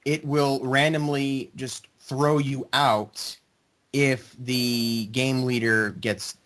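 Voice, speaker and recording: A man's voice: medium pitch, flat delivery, slow, a bit bright. A man chatting casually with a group about video games. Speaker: member of a gaming group. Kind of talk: casual gaming chat.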